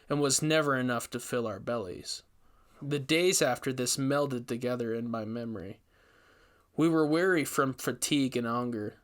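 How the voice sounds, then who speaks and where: A man narrates a horror story in the first person. His voice sits at a middle pitch. He delivers it as scripted storytelling. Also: fairly bright tone.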